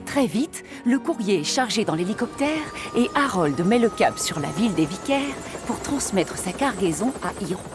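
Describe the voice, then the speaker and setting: A woman's voice: medium pitch, very animated, normal speed, few pauses. A woman narrator reading scripted storytelling narration for a children's cartoon in French. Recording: music behind the voice.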